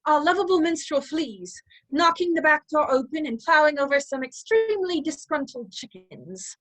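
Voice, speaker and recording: A woman's voice: high-pitched, expressive, moderate pace, fairly bright tone, dry recording, low-quality recording. A woman narrator giving playful scripted storytelling for a radio play.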